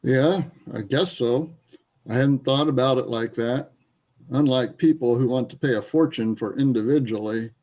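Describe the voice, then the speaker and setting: Man acting as sad guarded tipsy guy, soft dark voice, kinda hesitant, readers theater. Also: medium pitch, moderate pace, highs cut off, lightly acted. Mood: subdued.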